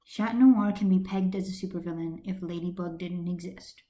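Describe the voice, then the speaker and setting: A woman's voice: fairly low pitch, moderate pace, dark tone, natural voice. A woman voicing a reserved, uneasy teenage schoolboy in a subdued manner, in an amateur story reading. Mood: matter-of-fact, subdued.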